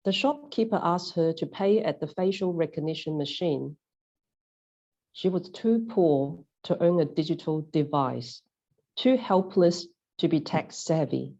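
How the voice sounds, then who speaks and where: A woman's voice: on the low side, mellow, dull audio. A woman poet with a cold, introducing and reading her own writing. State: has a cold, clearing throat.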